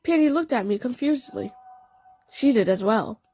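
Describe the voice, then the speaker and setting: High-voiced woman narrating a story. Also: animated, normal speed, warm tone, soft background music. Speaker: narrator and voice actor. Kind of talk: dramatic first-person story narration.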